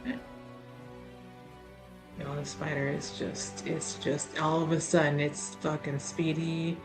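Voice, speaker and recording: A woman playing a tabletop roleplaying game, talking casually in a deliberate, wry way with pauses. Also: deep voice, level tone, over music, low-quality recording.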